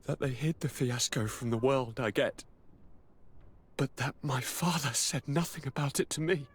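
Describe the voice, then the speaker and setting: A man's voice: medium pitch, moderate pace, slightly rough, lightly acted. An actor playing a needy, anguished young aristocrat in a video game, theatrical and formal in his delivery. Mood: urgent, stunned. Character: sickly, anguished young male aristocrat governor, melodramatic and needy. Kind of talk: dramatic video game acting.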